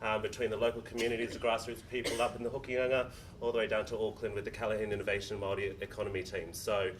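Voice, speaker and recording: A man's voice: on the low side, flat delivery, fast. A man giving brief, casual and friendly remarks to an audience. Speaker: embassy representative. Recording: distant mic with faint noise.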